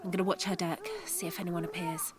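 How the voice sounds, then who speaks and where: A woman's voice: lowish voice, flat delivery, fast, noisy background, close to an everyday voice. Wary, firm mother at sea played by a woman. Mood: wary, tense. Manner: terse, guarded, commanding. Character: wary, firm mother keeping watch aboard a boat. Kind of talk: naturalistic audio drama acting.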